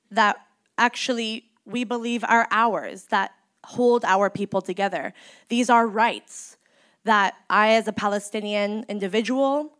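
A woman in her thirties from the Bay Area, a community organizer in mourning, giving an impassioned speech in a measured, emphatic way with deliberate pauses. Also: mid-range voice, fairly bright tone. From San Francisco Bay Area, United States. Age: 30s.

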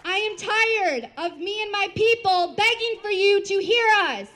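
A woman's voice: extremely high pitch, animated, measured pace, a bit bright. A woman giving an impassioned protest speech at an outdoor rally, in short declarative lines. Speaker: rally speaker.

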